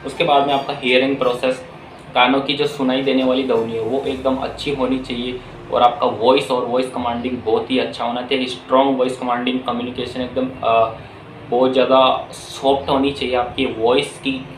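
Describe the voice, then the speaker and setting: Male explainer host, mid-pitched, informal and instructional, roomy echo with music behind. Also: flat delivery, normal speed, a little hiss or hum. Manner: instructional, Hindi mixed with many English terms. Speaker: video host explaining a career path. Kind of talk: informal explainer addressing viewers.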